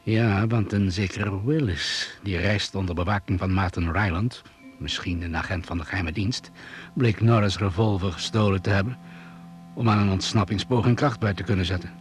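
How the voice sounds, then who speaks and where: An actor playing a composed doctor who leads crash survivors, giving a formal, precise account in a radio drama. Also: male voice, low-pitched, moderate pace, lightly acted. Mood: measured, concerned.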